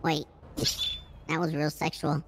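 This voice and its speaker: A woman's voice: deep voice, little pitch movement, measured pace. A woman streamer roleplaying a brash, profane criminal, bickering and shrieking when angry. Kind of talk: in-character roleplay banter.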